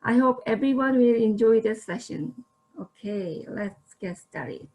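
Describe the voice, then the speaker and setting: A woman's voice: fairly high pitch, measured pace, low-quality recording. Korean-born woman giving a slide talk. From South Korea. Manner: careful, steady delivery with fillers. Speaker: student presenter. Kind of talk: slideshow lecture presentation.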